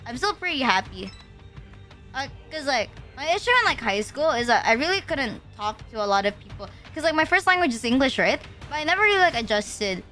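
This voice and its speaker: A woman's voice: high voice, sing-song, brisk, bright, forceful tone, soft background music. A young woman from the Philippines chatting casually on a livestream, rambling with lots of fillers and slang. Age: young adult. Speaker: streamer.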